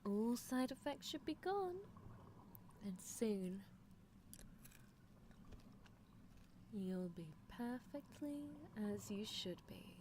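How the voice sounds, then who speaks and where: A woman's voice: fairly high pitch, animated, takes it slowly, mellow, slight background noise. A woman playing a doting, possessive young female mad scientist in an ASMR roleplay, coaxing and theatrical, slipping toward whispers. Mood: soothing, possessive.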